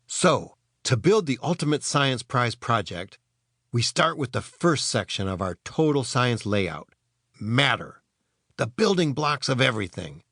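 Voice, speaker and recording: A man's voice: mid-range voice, sing-song, unhurried, fairly bright tone, dry recording, studio quality, full character voice. A man voicing an earnest boy inventor in a children's storybook, explaining science eagerly and emphatically.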